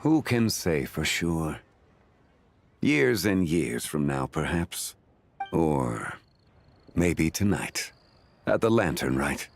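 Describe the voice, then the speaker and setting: A man playing a calm, grave and wise gentleman sage in a video game, formal, measured and cryptic in his delivery. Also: rumbling low, a little hiss or hum, full character voice. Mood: calm, knowing.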